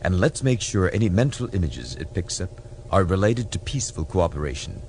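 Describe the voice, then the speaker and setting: An urgent starship captain, as a male narrator plays him, decisive and earnest. The pitch swings up from a low base as he gives clipped orders in a science fiction audiobook, over faint background noise. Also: big pitch swings, brisk, darkish tone. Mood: urgent, hopeful.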